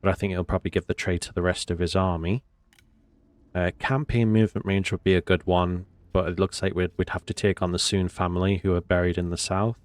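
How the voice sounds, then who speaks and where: Nasal man with a cold, low voice. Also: flat delivery, brisk, no room sound. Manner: rambling, hesitant with many fillers. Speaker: game commentator. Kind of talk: casual gameplay commentary.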